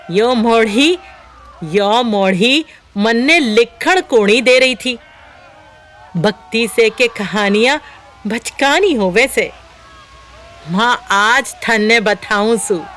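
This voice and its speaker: A woman's voice: on the high side, a bit bright, a little hiss or hum. A woman playing a sadistic, theatrical village storyteller with a Rajasthani accent in an audio horror drama.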